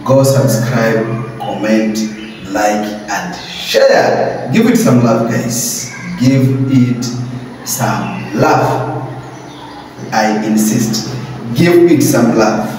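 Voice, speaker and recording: A man in his thirties shows viewers around a building site in a casual, halting way. He talks slowly and repeats his phrases. The sound bounces hard off bare walls, picked up from a distance with clear noise behind. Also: on the low side, sing-song, a little mellow. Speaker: vlogger plumber. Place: empty unfinished concrete rooms indoors. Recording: very echoey bare room, distant mic, noisy.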